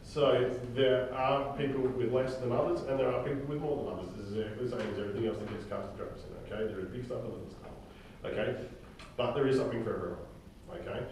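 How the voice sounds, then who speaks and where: A man informally briefing a group of actors as their director, halting as he goes and often checking in with "okay?". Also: medium pitch, steady tone, a little mellow. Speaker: director and writer leading a drama table read. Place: reverberant indoor room, recorded from far off. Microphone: distant room microphone. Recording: very echoey room, distant mic, faint noise.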